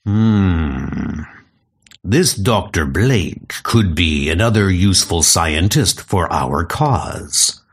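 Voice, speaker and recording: A man's voice: deep voice, animated, very slow, vocal fry, husky, pitched below the performer's own voice, heavily acted. A man voicing a scheming Communist agent in a comic audio drama.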